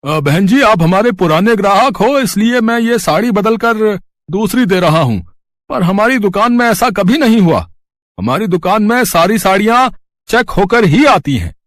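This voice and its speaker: A man's voice: sits high, lively intonation, normal speed, no echo. A man voicing a polite, apologetic sari shopkeeper who explains courteously in a cartoon story.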